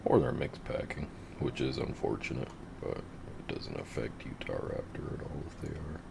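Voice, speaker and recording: A man with a very deep voice giving laid-back, rambling, profane commentary over his game. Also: expressive, moderate pace, creaky, background noise. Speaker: gamer narrating his play. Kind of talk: casual gameplay commentary.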